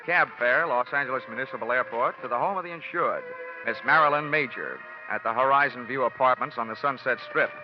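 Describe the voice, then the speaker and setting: An actor playing a dry, sardonic freelance insurance investigator in a 1940s radio detective drama. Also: male voice, medium pitch, brisk, natural voice. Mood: dry, wry. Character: wry, wisecracking male freelance insurance investigator. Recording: muffled 1940s radio broadcast, music behind.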